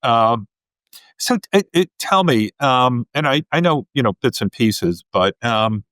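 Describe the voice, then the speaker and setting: A man's voice: medium pitch, a little slow, dry recording. A middle-aged man hosting a podcast interview, chatting casually with plenty of fillers and restarts. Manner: relaxed, rambling, many fillers. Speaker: podcast host.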